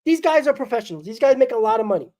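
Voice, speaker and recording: A woman's voice: on the high side, expressive, racing, dark tone. A woman hosts a solo postgame fan show, ranting bluntly and with exasperation while sick, her voice crackling and coughing.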